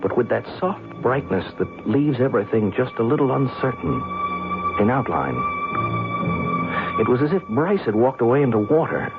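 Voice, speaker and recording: A man's voice: mid-range voice, huge pitch swings, normal speed. An actor playing a blunt, skeptical man who recounts a strange night, in an old-time radio drama style. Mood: hushed recounting. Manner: blunt, incredulous, wisecracking. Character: skeptical, brash, down-to-earth male visitor recounting a strange night. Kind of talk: old-time radio drama acting with first-person narration. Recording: tinny band-limited radio recording, music.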